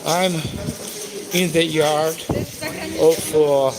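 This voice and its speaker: A Ukrainian-accented man whose first language is Russian, live-streaming outdoors in the rain and wind. He talks haltingly, with many "uh" fillers. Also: fairly high pitch, steady tone, a crowd in the background, rough recording. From eastern Ukraine. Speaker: live-stream host and tour guide. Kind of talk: live-streamed walking chat.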